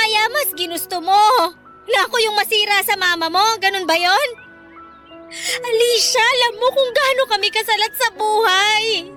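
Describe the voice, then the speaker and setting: An actress playing a betrayed housemaid in a radio drama, hurt, proud and accusing. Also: female voice, very high voice, normal speed, over music. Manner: emotional, accusing. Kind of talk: tearful radio drama acting.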